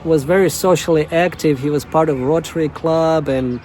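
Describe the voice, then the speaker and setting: Soft-voiced man with a tongue. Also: fairly high pitch, a little hiss or hum. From Russia, Novosibirsk. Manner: halting, many fillers and restarts. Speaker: coach. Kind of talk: casual self-introduction monologue.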